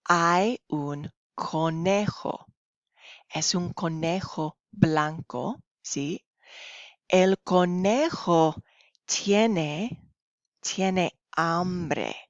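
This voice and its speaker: A woman teacher talks through a recorded lesson at a very unhurried pace. Her voice sits slightly low, and she explains instructively, often checking in with short questions. The recording is somewhat dull and lo-fi. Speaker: Spanish teacher. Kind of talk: recorded classroom lesson, reading slides aloud. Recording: dull lo-fi, roomy home recording.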